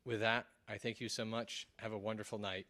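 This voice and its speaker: A man's voice: fairly low pitch, flat delivery, brisk, bright, forceful tone. A man speaking as the host of a public talk, addressing the audience in informal remarks. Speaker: event host. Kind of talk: event closing remarks. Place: room with a live audience.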